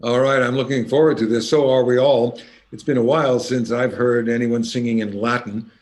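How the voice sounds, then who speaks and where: The man who steps up between numbers at a cultural evening to introduce the next performer, courteous and measured, in a medium-pitched voice. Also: fairly even intonation, a little mellow. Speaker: concert host. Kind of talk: hosted concert introduction and interview.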